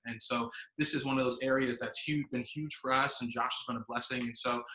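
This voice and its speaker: American man hosting, bright voice in echoey room. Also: mid-range voice, fairly even intonation, a little fast. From Wisconsin, United States. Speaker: pastor and host. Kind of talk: conversational online interview.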